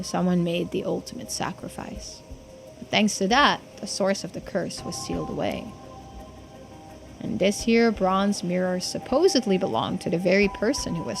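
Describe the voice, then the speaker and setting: A woman's voice: lowish voice, big pitch swings, moderate pace, vocal fry, over music. A woman voicing a folksy, earnest islander who explains old secrets in video game dialogue. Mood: solemn, explanatory.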